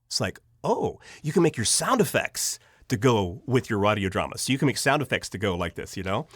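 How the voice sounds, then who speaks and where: Animated middle-aged American man telling stories. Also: lowish voice, a little fast, breathy edge, dry recording, clean studio sound. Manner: acts out remembered dialogue, conversational. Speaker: podcast guest, audio drama writer. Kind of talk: animated personal storytelling in an interview.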